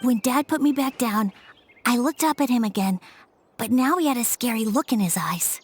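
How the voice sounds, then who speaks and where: A woman voicing a shy, earnest young boy who speaks softly and hesitantly in a game. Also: on the high side, expressive, moderate pace, husky. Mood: wistful. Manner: soft, hesitant storytelling. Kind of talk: game voice acting.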